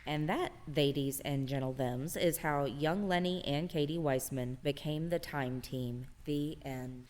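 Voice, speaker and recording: A woman's voice: low voice, measured pace. A woman narrator telling a story in a dramatic, scripted style. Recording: echoey hall, loud background noise.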